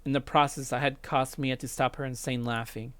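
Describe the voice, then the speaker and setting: A man's voice: mid-range voice, steady tone, brisk, smooth, no room sound. A man reading a visual novel aloud as a let's player, with dramatic narration. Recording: loud music under voice. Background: loud game music.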